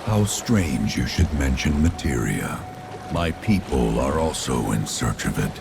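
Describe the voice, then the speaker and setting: An actor playing a solemn, ancient spirit in a video game, speaking in a grave, formal, deliberate way. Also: male voice, very deep voice, big pitch swings, gravelly, heavily acted. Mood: grave, ominous. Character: solemn, ancient male spirit of a lost tribe. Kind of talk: video game cutscene acting. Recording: loud music under the voice.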